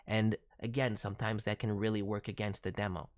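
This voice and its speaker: A man's voice: fairly low pitch, little pitch movement, fairly quick. A man giving casual, thoughtful critique as a voice director, hedging as he talks. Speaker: voice director reviewing demo reels.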